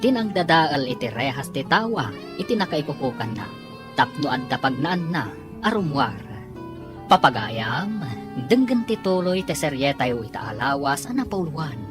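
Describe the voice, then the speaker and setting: A woman with a low voice announces a radio serial over prominent music. Her delivery is scripted and dramatic, with big swings in pitch. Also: big pitch swings, moderate pace. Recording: broadcast narration over loud music.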